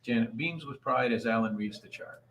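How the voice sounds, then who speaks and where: A man's voice: medium pitch, moderate pace, highs cut off. A man directing an informal table read and reading out the stage directions. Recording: faint background noise.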